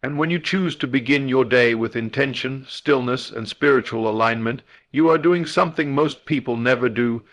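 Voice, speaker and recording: A man with a mid-pitched voice narrates a scripted guided meditation. He speaks as a motivational guide, with solemn and deliberate phrasing that has the shape of a formal address. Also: fairly bright tone.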